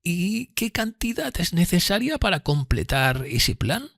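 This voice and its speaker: A man voicing a frail, remorseful elderly gentlewoman in an audiobook, speaking slowly in a hesitant, timid murmur. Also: high voice, big pitch swings, vocal fry, no echo, clean studio sound, pitched above the performer's own voice, exaggerated voice. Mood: subdued, solemn. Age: older. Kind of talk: audiobook character reading.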